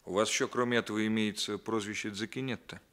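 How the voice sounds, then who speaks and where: Radio play lead: male police captain, played by an actor, lowish pitch, coolly polite, exact, shrewd interrogator. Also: fairly even intonation, fairly bright tone, close to an everyday voice. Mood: measured, formal. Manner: measured, precise, ironically polite. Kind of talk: 1960s Soviet radio play acting, alternating narration and dialogue.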